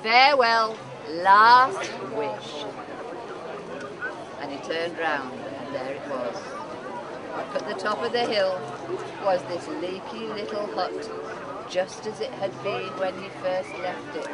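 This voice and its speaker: A woman telling a story live to an audience outdoors, with dramatic pauses. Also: on the low side. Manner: dramatic pauses, acts out dialogue. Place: outdoors at a festival. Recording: outdoor festival with loud crowd noise. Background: loud crowd and outdoor bustle.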